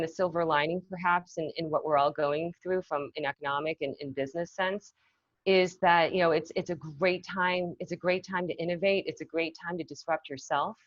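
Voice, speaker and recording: Woman guest from Massachusetts, low voice, reflective and casual, slightly dull dry line. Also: dry recording. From Massachusetts, north of Boston. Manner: thoughtful, peppered with "you know" and restarts. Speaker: guest, marketing executive. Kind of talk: casual conversational interview.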